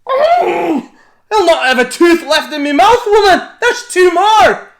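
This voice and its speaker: A storyteller doing a bellowing giant. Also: male voice, high-pitched, sing-song, a little slow, creaky, echoey room, exaggerated voice. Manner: bellowing, loud shouting. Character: huge bellowing bully giant, gluttonous.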